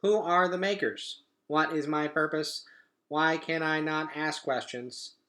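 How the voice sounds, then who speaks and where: A man's voice: a little high, fairly even intonation, measured pace, bright, forceful tone. A man voicing a steadfast female robot mother in a children's storybook, earnest and insistent. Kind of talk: children's book read-aloud.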